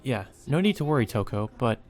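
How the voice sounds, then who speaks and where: A man's voice: mid-range voice, very animated, a little fast. A man voicing an anxious, guarded teenage schoolgirl in a visual novel. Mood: uneasy, subdued. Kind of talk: character reading in a visual novel.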